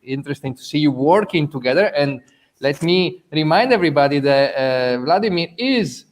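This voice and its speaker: Chatty, higher-voiced man hosting a Q&A. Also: lively intonation. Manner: halting, repeats words, chatty and playful. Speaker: festival host moderating audience questions. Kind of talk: informal live Q&A hosting.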